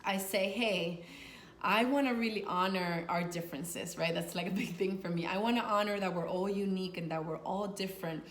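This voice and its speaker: A woman's voice: mid-range voice, moderate pace. A Dominican woman from New York, a life coach, gives conversational, earnest advice to camera with informal phrasing and emphasis on key words. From Dominican, grew up in New York. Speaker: sex and life coach, video host. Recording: echoey room with faint noise.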